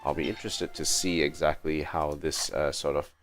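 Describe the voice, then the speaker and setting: Male game streamer, very low gravelly voice, halting think-aloud delivery, light music underneath. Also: little pitch movement. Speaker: game livestreamer. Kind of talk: casual livestream gameplay commentary.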